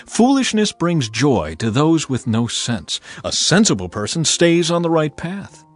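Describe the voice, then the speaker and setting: Male scripture narrator, solemn measured reading, highly varied intonation, slightly husky voice, music behind. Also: sing-song. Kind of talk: dramatized audiobook scripture reading.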